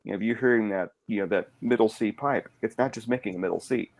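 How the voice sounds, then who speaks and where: A podcast host with a low, gravelly baritone. He talks casually and takes his time explaining things. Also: fast, a little mellow, rough recording. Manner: chatty, explains at length.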